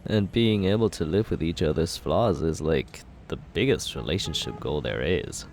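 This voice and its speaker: A man's voice: a bass voice, expressive, normal speed, vocal fry, a little mellow, slight background noise, exaggerated voice. A young man playing a cocky male villain who courts the listener in an ASMR roleplay, teasing and laid-back as he justifies himself.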